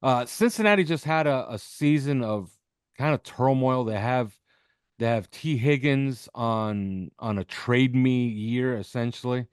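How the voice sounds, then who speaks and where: A man's voice: medium pitch, measured pace, clear, resonant tone, no room sound. A man with a mid-range voice breaks down football as a podcast guest, unscripted and emphatic. He punctuates his points with short check questions. The sound is dry and close, with very little room on it. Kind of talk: unscripted sports analysis.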